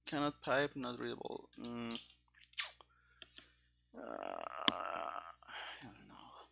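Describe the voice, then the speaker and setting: A man doing live coding commentary as a game developer, halting and muttering to himself in short fragments. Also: mid-range voice, huge pitch swings, gravelly, pushed and bright, a little hiss or hum.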